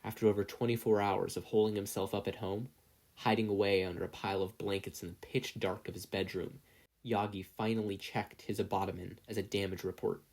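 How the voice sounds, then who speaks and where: A man's voice: on the low side, fairly even intonation, brisk, gravelly, darkish tone. A man reading scripted fiction aloud as a story narrator. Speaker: podfic reader.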